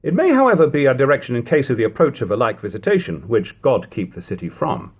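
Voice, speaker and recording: A man reading an old historical narrative aloud in a measured, expressive way, as an audiobook reader. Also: mid-range voice, husky. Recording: lo-fi amateur home recording.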